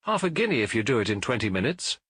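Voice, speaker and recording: A man voicing a hurried, excitable Victorian gentleman who speaks in urgent, commanding exclamations, as in an audiobook. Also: medium pitch, breathy edge, no echo, studio quality.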